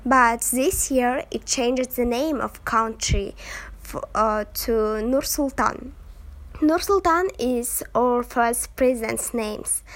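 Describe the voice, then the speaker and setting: A woman in her twenties from Kazakhstan, a native Kazakh speaker with a Kazakh accent, hosting a casual solo podcast and pausing often to search for words. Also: a little high, fairly bright tone. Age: 20s. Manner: halting, searching for words with many fillers. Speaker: podcast host.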